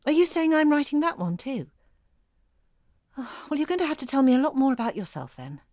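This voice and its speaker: A woman's voice: high voice, sing-song, brisk, darkish tone, no echo, clean studio sound. An actress playing a blunt, busy single mother who ghostwrites, speaking in a matter-of-fact, naturalistic way. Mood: tired, frank. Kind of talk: audio drama acting, naturalistic.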